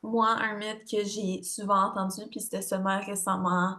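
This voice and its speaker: A woman cohosts an online workshop as its francophone facilitator. She speaks in a chatty, relaxed way. Her pitch stays level and even. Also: moderate pace. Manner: chatty and relaxed, with conversational fillers. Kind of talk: casual introduction on a video call. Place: online video call.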